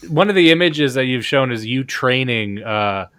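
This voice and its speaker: A man hosts a podcast with a voice of ordinary pitch. The sound is dry and close, and he keeps up a steady run of dry jokes. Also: normal speed, clean tone, no echo. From Canada. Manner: joking, dry humor. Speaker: podcast host. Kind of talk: casual podcast conversation.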